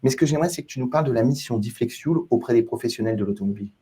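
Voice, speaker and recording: A man's voice: mid-range voice, very fast, warm tone, slightly rough. A man hosting a podcast at a trade show, welcoming a guest and posing questions with a friendly promotional patter and some fillers. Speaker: podcast host.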